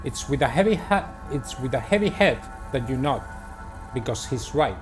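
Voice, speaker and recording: A man doing a playthrough of a visual novel, reading it aloud and commenting in a deliberate, halting way. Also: mid-range voice, lively intonation, music in the background. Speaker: gamer playing and narrating a visual novel. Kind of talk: dramatic read-aloud with casual playthrough commentary.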